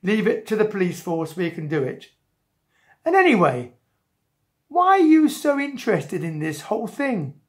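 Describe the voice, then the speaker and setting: A woman's voice: mid-range voice, big pitch swings, moderate pace, long pauses, darkish tone, close to an everyday voice. A woman voicing a pompous, bossy policeman in a children's story, blustering and officious. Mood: officious, dismissive. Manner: blustering, officious, repeated stock phrases. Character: pompous, bossy male policeman, mocking and self-important.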